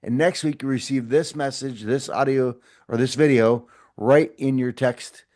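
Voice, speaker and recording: Middle-aged man, motivational podcast host, earnest, encouraging, repetitive, mid-pitched voice. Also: measured pace, darkish tone. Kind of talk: casual motivational talk to camera.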